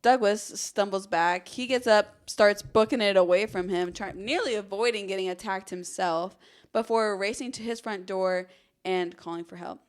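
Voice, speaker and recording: A woman's voice: medium pitch, normal speed. A woman hosting a true crime podcast, telling the story dramatically with casual conversational asides.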